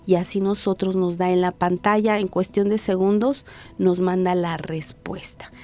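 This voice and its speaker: A woman physician with a Mexican Spanish accent, explaining patiently and didactically in an interview, slowing down to stress key points. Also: on the low side, fairly even intonation, a little mellow. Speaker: physician, hearing and speech specialist.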